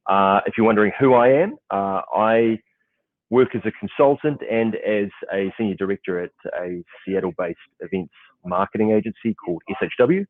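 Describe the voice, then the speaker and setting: Kiwi guy hosting a video panel, lowish voice, tinny dry line, lots of uhs. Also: moderate pace, no room sound. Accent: New Zealand. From New Zealand. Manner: chatty, lots of "uh" fillers. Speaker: moderator. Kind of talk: casual online panel hosting. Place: client's office in Seattle, crew setting up.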